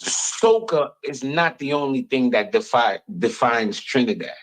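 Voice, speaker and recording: A man chatting casually as an interview guest, animated and opinionated, using slang and repeating phrases for emphasis. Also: mid-range voice, unhurried. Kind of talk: casual chat, storytelling. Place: radio station studio.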